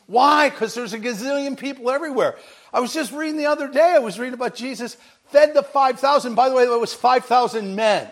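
A man's voice: extremely high pitch, fairly quick. A man preaching a sermon with a big voice, telling a story with energy and acting out bits of dialogue. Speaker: pastor.

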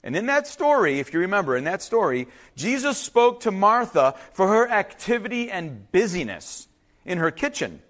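Animated, high-voiced man preaching a sermon, Ukrainian native speaker. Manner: conversational, informal asides, checks in with listeners. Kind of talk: sermon, reading and explaining scripture.

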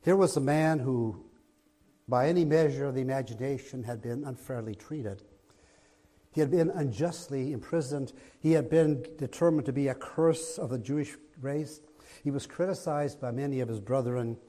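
A man with a raspy voice preaching a sermon as pastor in a church, going from a soft low opening to loud emphatic delivery. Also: normal speed. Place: church sanctuary, streamed online.

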